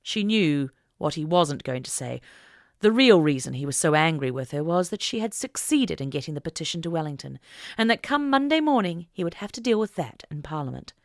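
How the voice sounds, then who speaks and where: A woman narrating an audiobook story in a deliberate, expressive, dramatic reading style. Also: low-pitched, no room sound, studio quality.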